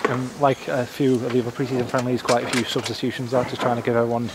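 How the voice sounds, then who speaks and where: A man's voice: medium pitch, flat delivery, fast talker, heavy background noise. A man interviewing a football manager for the club's media, putting short leading questions. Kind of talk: post-match interview questions.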